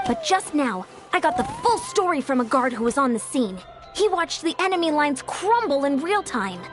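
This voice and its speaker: A woman playing an earnest, courteous young woman in a fantasy video game, speaking in a measured way. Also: sits high, very animated. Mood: serious. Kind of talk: English video game voice acting. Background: game music.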